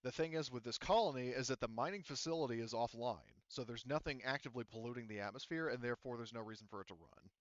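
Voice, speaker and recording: A man's voice: medium pitch, fast talker, few pauses, dry recording, muffled recording. A man running a tabletop game as game master, talking in a rambling, casual way with lots of fillers and the odd swear word. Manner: rambling, filler-heavy, profane.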